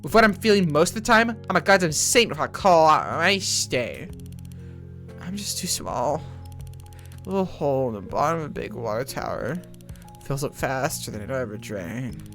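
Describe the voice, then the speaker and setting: A jaded and sardonic detective-drama character played by an actor, with a medium-pitched voice. The delivery is blunt, conversational and sarcastic, with music playing underneath. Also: expressive, normal speed, lightly acted. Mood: angry, bitter.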